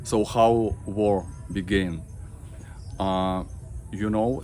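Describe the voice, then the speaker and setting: A man in his thirties with a Russian accent, talking to camera about his family, halting with many fillers and restarts. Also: deep voice, a little mellow, slight background noise. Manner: halting, many fillers and restarts, simple non-native grammar. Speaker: vlogger. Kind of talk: personal talk to camera.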